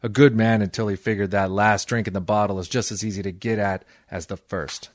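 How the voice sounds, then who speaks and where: Male actor as hard-boiled private eye, low voice, dry close sound, deadpan sarcasm, old-time radio drama. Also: a little fast, no echo, lightly acted. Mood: wry, weary narrating. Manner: sardonic, deadpan. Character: wisecracking, cynical hard-boiled private detective. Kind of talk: old-time radio detective acting and narration.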